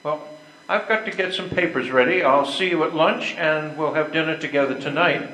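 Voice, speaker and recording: A man's voice: a little high, normal speed, pushed and bright. An older man playing a proud, work-absorbed husband in amateur readers theater, speaking somewhat formally. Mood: formally apologetic. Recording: echoey, noisy room recording.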